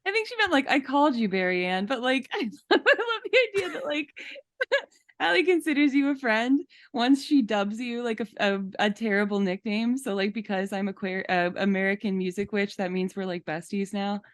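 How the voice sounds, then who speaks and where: A woman's voice: on the high side, moderate pace, dry recording. Amused woman podcaster, dry close sound. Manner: amused, giggly, mocking. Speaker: podcast co-host. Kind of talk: casual, wry commentary.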